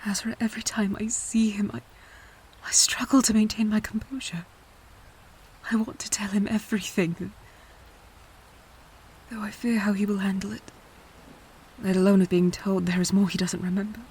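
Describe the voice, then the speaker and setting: Woman playing a grieving, hushed noblewoman, noisy backdrop. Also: medium pitch, fairly even intonation, normal speed, long pauses, natural voice. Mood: pained, anxious. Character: grieving noblewoman countess and adoptive mother, tender, anguished. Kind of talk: audio drama acting.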